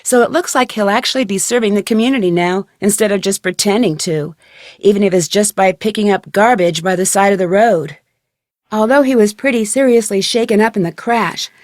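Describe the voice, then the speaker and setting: A young American woman voicing a plucky, inquisitive amateur detective in a scripted video game, speaking in a crisp, conversational way. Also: medium pitch, dry recording, studio quality, natural voice. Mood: wry, narrating.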